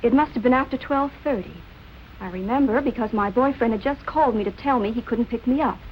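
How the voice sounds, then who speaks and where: An actress playing a brisk, loyal nightclub woman in 1940s film noir style. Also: fairly high pitch, highs cut off, a little hiss or hum, lightly acted. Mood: brisk, curious.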